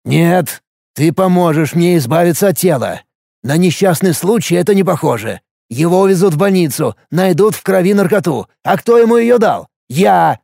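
A man's voice: high-pitched, animated, normal speed, gravelly, warm tone, no echo, clean studio sound, exaggerated voice. A man voicing a jealous, menacing older brother who schemes desperately and pushes insistently in an audiobook story. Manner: pressuring, insistent.

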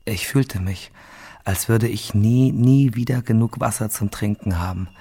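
A low, husky male voice as a haunted prisoner facing death in a radio drama. He tells his ordeal slowly and gravely, subdued and at times nearly whispering. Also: dark tone. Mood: weary, grave. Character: male captive awaiting execution, parched, grave, haunted survivor. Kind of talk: first-person radio drama monologue.

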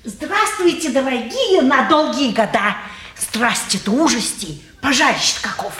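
A sly, brisk, middle-aged townswoman in an old stage drama. Her high, bright voice swoops through folksy, sing-song patter full of pious sayings. The recording is a roomy 1950s theatre production. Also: animated. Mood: folksy, lamenting. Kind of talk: mid-century Russian stage acting.

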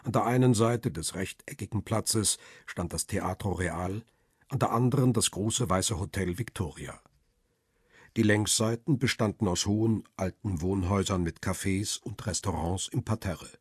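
A middle-aged family man narrates a radio crime drama in a low, very raspy voice. His storytelling is measured and reflective, recorded dry and close. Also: dry recording. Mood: reflective, tender. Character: middle-aged male paparazzo, devoted husband and father, reflective. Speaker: narrator and lead actor.

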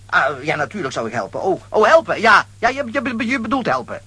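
A blustering, pompous comic man in a children's radio play. His voice is very husky and forceful, swooping animatedly as he stammers and gets flustered. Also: sits high, big pitch swings, a little fast, dry recording, soft background music, exaggerated voice. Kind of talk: children's audio play acting.

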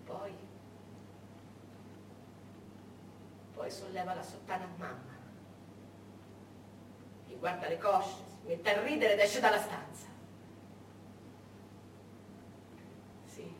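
An actress playing a woman witness who haltingly recalls her wartime childhood in theatrical testimony. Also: mid-range voice, natural voice. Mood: hushed unease. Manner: halting, repeating, remembering aloud. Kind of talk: theatrical first-person testimony. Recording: echoey stage, distant mic, faint noise.

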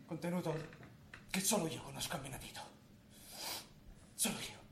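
Male actor, grandiose bitter know-it-all, high declamatory stage delivery, echoey room. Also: normal speed, gravelly, a bit bright. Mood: smug, secretive. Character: megalomaniac, bitter man who claims to know every secret. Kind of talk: theatrical stage monologue. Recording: echoey stage recording with background noise.

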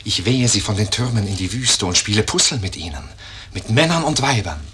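An actor voicing the wind as a pitiless, macabre spirit in a radio play, ominous in manner. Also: male voice, lowish voice, animated, brisk, bright, forceful tone. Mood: macabre, playful.

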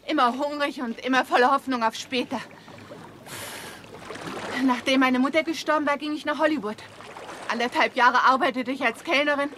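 Seductive, high-voiced Hollywood film star. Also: female voice, pushed and bright. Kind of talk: 1960s German radio crime drama acting. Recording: 1960s radio recording, faint background noise.

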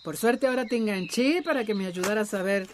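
An actress plays a stern woman gardener in a radio serial. Her medium-pitched voice is impatient and scolding. Faint birdsong and ambience sit behind her. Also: brisk, slight background noise, lightly acted. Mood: exasperated. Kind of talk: radio drama acting.

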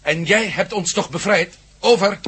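A man's voice: sits high, moderate pace, noisy background, lightly acted. A man acting in a Dutch radio drama as an embattled, urgent and baffled adventurer hero.

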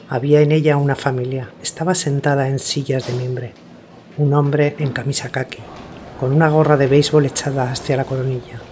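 A man's voice: medium pitch, darkish tone, slight background noise. A man reading scripted literary narration for a radio drama.

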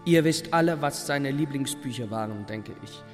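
A man's voice: mid-range voice, moderate pace, close to an everyday voice. A man giving a reflective, scripted monologue in a radio play. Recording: long reverb, faint music.

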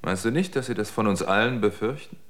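A man playing a wary, solitary survivor in a radio drama, speaking in a measured, matter-of-fact, brooding way. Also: lowish voice, fairly bright tone, close to an everyday voice. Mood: resigned, sober. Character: wary, sober male survivor keeping a solitary log. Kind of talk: German radio drama monologue and dialogue.

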